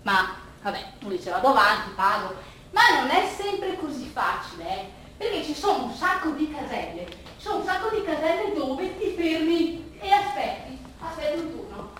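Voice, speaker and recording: An actress playing an agitated, rambling woman in a bittersweet theatre monologue, explaining in a halting, repetitive, animated way to her audience. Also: high voice. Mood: excited, wry. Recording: roomy, distant stage recording, faint noise.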